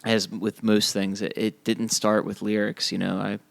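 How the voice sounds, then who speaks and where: Low-pitched, gravelly-voiced man. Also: flat delivery, moderate pace. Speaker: band musician and songwriter. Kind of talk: casual podcast chat.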